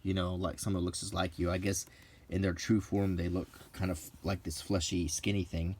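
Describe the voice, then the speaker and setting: A middle-aged man rambling casually in a halting, unhurried way as he browses a book, with dry asides. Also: low voice, level tone, a little mellow, slightly rough. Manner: unhurried, halting, dryly joking. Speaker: hobbyist video presenter.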